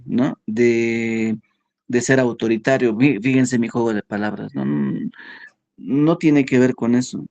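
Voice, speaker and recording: Slow, halting male lecturer. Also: mid-range voice, a little mellow, dull audio. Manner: slow, halting, with frequent "¿no?" and "¿ya?" checks. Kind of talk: philosophy workshop lecture.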